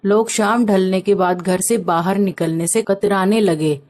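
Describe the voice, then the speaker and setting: A woman's voice: medium pitch, fairly even intonation, measured pace, a little mellow. A woman reading a scripted story as its narrator.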